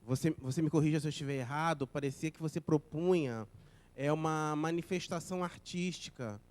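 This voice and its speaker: A man's voice: on the high side, a little fast, darkish tone. A man in a lecture audience asking a question, carefully rephrasing and asking to be corrected. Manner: careful, repeats himself to check understanding. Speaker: student in the audience. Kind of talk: classroom question.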